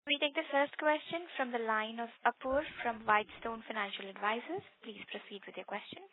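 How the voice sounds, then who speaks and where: A woman with a fairly high voice moderates as a conference call operator. She reads courteous, formal instructions, heard through a thin phone connection. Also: a little fast. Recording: narrow phone line.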